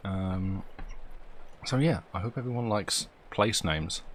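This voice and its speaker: A man's voice: low voice, takes it slowly, fairly bright tone, a little hiss or hum. A man hosting a live stream, chatting casually in an informal, rambling way. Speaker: live stream host and audiobook reader. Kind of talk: casual livestream chat.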